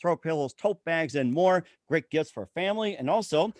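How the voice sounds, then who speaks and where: A man's voice: fairly high pitch, expressive, moderate pace, a little mellow, no room sound. A man hosting a talk show, reading plugs and introductions in an announcer-like way with stock phrases. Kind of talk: promotional show host talk, ad reads and guest introduction.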